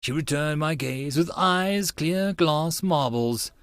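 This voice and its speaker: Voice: medium pitch, slow, a bit bright, dry recording. An audiobook narrator giving a theatrical, emphatic first-person reading of an anime-style story. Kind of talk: dramatic first-person reading of a visual novel.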